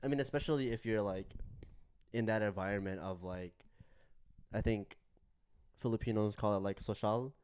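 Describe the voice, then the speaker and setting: A young man co-hosting a podcast, talking in a relaxed, thoughtful way. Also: on the low side, normal speed, pauses often, smooth. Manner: relaxed, thoughtful, many fillers. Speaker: podcast co-host. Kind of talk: casual chat.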